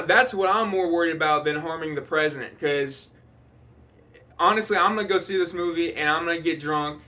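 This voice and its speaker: A man giving a casual, rambling movie commentary, exasperated and quick to swear. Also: fairly high pitch, sharp tone. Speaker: video commentator.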